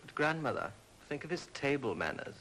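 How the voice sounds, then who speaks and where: An actor playing a mocking, cruel young Roman prince, with a theatrical 1930s film delivery. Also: male voice, medium pitch, big pitch swings, a little fast, a bit bright, rough recording. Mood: mock politeness. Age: young adult. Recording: hissy vintage 1930s film soundtrack.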